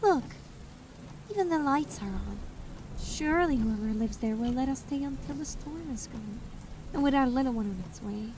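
A woman's voice: sits high, animated, moderate pace. A woman playing a tired, exasperated pregnant wife in her thirties, scolding and insistent in an audio drama. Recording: slightly distant mic, faint rain noise.

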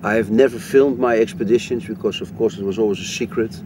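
A man's voice: fairly low pitch, fast, background noise. A man from Africa, an expedition leader, talking in a documentary about his travels. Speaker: expedition leader and strain hunter. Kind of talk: documentary narration and on-location talk. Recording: music bed under the voice.

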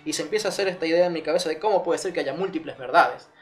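A man's voice: fairly high pitch, very fast. A man, earnestly recounting his own story as an interview guest, with many fillers and restarts. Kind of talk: personal testimony in an interview. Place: living room with curtains, seated in armchair. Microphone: lapel mic.

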